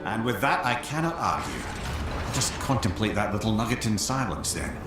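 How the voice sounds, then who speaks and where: A wry companion with swooping intonation. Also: male voice, fairly low pitch, very animated, moderate pace, over loud music. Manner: wry, conversational, candid. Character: wry, talkative, learned male companion, frank and brotherly. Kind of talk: dramatic video game acting.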